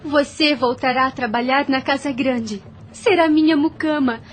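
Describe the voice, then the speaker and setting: Woman acting as young plantation mistress, high-pitched, earnest, formal, Brazilian accent, audio drama. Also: steady tone, moderate pace, natural voice. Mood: kind, resolute. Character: kind, resolute young plantation lady, easily indignant.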